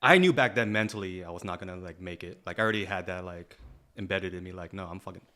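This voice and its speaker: Lower-voiced man rambling reflectively. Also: fast talker, bright, forceful tone. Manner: rambling, reflective, heavy use of fillers like "like" and "you know". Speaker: podcast co-host. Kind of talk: casual chat.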